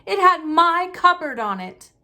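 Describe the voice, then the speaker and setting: A woman's voice: very high voice, big pitch swings, measured pace, pushed and bright, pitched above the performer's own voice, heavily acted. Woman acting an indignant, stubborn young boy. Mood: angry, insistent. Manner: insistent, protesting delivery.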